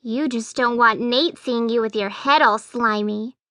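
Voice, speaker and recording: A woman's voice: fairly high pitch, measured pace, bright, forceful tone, no room sound, studio quality. A woman voicing a tiny, knowing, teasing female fairy in a full-cast audiobook, pert and needling.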